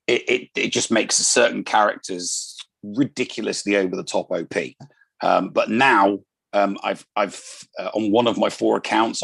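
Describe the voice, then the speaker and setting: Gravelly, husky man in casual chat. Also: fairly low pitch, no room sound. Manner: hesitant, many fillers and restarts. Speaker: panelist. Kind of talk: casual panel chat on a live stream.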